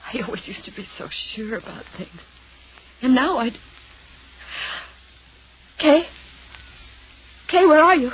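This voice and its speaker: An actress playing a frightened, unhinged young woman in old-time radio horror, pleading in breathless repeated phrases. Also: a little high. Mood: anxious, confiding. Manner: breathless repetitive pleading, melodramatic. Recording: noisy, muffled old radio recording.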